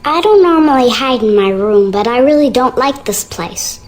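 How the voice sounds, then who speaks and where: A woman's voice: a little high, expressive, normal speed, a little mellow, lightly acted. A woman voicing a frightened, earnest young girl in a video game, childlike and confiding. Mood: sad, scared. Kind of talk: video game character dialogue.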